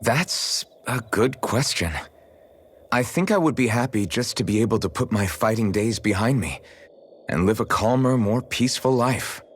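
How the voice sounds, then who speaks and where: An actor playing an easygoing, modest young swordsman with a gently teasing, casual way of talking in a video game cutscene. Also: mid-range voice, lively intonation, normal speed, slight background noise, close to an everyday voice. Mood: calm, reflective.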